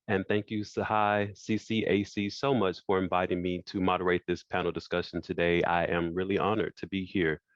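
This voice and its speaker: A man born in France, with French as his first language, moderating an online panel over a video call and talking in a halting way that repeats phrases. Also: deep voice, flat delivery, no room sound. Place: indoor room by a wall. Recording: dull lo-fi video call audio.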